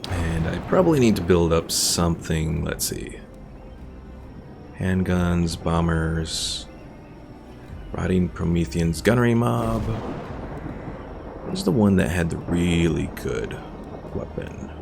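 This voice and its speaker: Deep-voiced man streaming a game. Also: expressive, slow, creaky, pauses often, background noise. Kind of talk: casual live game commentary, reading out chat.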